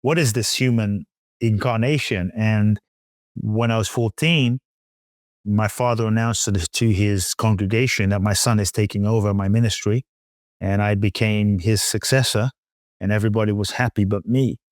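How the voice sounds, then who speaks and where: A middle-aged man from London, a spiritual teacher, telling his story reflectively as an interview guest in measured, emphatic short phrases with some repetition. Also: fairly low pitch, steady tone, dry recording, clean studio sound. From London, England.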